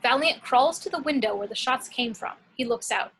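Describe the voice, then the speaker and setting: A woman's voice: high voice, moderate pace, creaky, a bit bright, a little hiss or hum. A woman reading screenplay action and scene headings as scripted narration.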